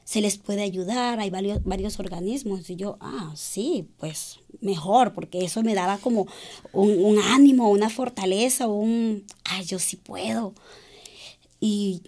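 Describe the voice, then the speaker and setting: A middle-aged woman from Ecuador, a guest in an interview, telling her own story hesitantly with many pauses and fillers. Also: mid-range voice, dark tone. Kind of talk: personal account in an interview.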